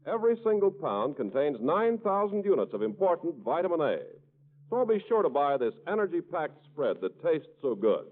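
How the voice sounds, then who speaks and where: Old time american radio ad guy, very animated, tinny 40s broadcast sound. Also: fairly high pitch, normal speed. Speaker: radio announcer. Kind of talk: 1940s radio commercial announcing. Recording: tinny 1940s radio recording.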